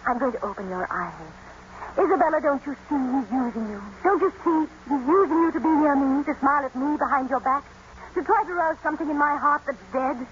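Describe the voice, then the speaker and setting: Anguished young gentlewoman: high-pitched, imperious, intense, vintage radio sound with faint noise. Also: animated, brisk. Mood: scornful. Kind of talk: 1940s-50s radio drama acting. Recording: muffled old radio broadcast, faint noise.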